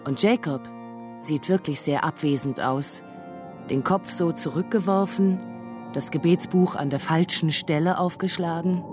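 An actress narrating as an observer in a lyrical literary radio drama, describing things in vivid detail. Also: female voice, fairly low pitch, unhurried, music in the background, natural voice. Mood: observant, lyrical.

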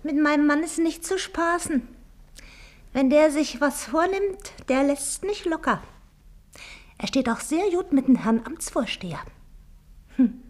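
An actress playing a chatty, wheedling, falsely friendly wife in a German radio play. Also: female voice, high voice, expressive, unhurried, pauses often. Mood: boastful.

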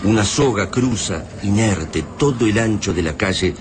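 A man playing a grave storyteller, narrating a radio drama in measured, literary Argentine Spanish. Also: on the low side, animated, lightly acted. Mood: solemn, measured. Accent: Argentine Rioplatense. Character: grave, solemn male storyteller of doom. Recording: noisy sound-effects bed behind narration.

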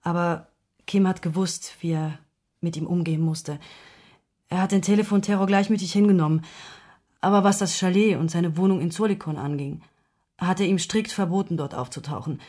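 A guarded woman in grief, with a fairly low voice, played by an actress. She confides as someone with a secret love would, in the natural dialogue of a radio drama. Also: normal speed, dark tone, close to an everyday voice. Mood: confiding.

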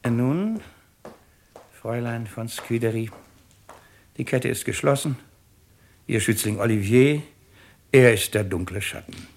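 An actor playing an imperious, courtly court president, declaiming formally and with irony in a 1950s radio play. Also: male voice, mid-range voice, lively intonation, unhurried, pauses often, a little hiss or hum. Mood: triumphant, ironic. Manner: formal, theatrical, emphatic declaiming.

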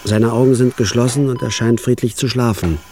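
An actor playing a quiet, deadpan hired killer who narrates his own story in a radio drama. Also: a little fast, a little hiss or hum, natural voice. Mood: narrating. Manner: deadpan, quiet, low-key. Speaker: narrator and lead.

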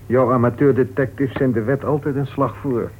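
An actor plays a wry male club member in an old radio drama. His voice is husky and middling in pitch, his manner dry and needling. The recording is narrow and tinny. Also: fairly even intonation, moderate pace, natural voice. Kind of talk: Dutch radio play acting.

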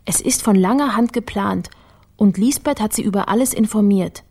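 A woman's voice: mid-range voice, moderate pace, warm tone, husky. An actress playing a shrewd, wry female investigator in a radio drama, speaking tersely as if thinking aloud. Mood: intrigued.

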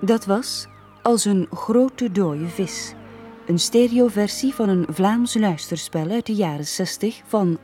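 A woman's voice: medium pitch, mellow. A woman formally announcing credits as a radio presenter.

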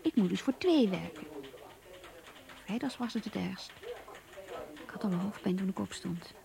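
A woman's voice: medium pitch, animated, unhurried, vocal fry, crowd noise. An actress playing an earnest, idealistic young office worker who confides her troubles in an introspective radio play monologue. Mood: troubled, lonely. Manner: introspective, pleading, searching.

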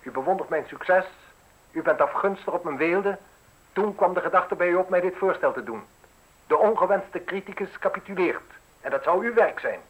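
Ruthless journalist, insinuating, mocking, articulate, interrogating, radio drama. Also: male voice, high-pitched, expressive, moderate pace, fairly bright tone, breathy edge, dull audio, full character voice. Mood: relentless. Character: probing, mocking, ruthless male newspaper journalist needling an official. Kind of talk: radio play acting in Dutch.

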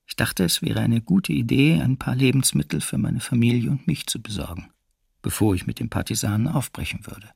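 An actor playing a sober wartime witness who recounts his arrest in measured first-person testimony, in a documentary radio play. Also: mid-range voice, creaky, a little mellow, slightly rough, dry recording, clean studio sound. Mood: sober, tense.

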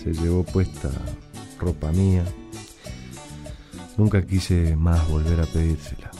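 A man's voice: low-pitched, little pitch movement, takes it slowly. An actor playing a nostalgic Argentine man who recalls a lost youthful love in melancholic radio drama narration. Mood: wistful, regretful. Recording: music under the voice.

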